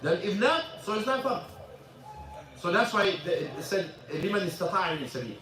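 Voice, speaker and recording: High-voiced imam teaching in an echoey mosque. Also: male voice, very animated, sharp tone. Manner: halting, repeats words, switches into Arabic. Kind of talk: informal religious lesson with questions. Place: mosque classroom. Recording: echoey room, mic at a distance.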